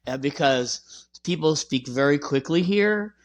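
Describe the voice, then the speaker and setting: A man hosting a podcast, explaining things in a measured, conversational way. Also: a little high, animated. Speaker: podcast host.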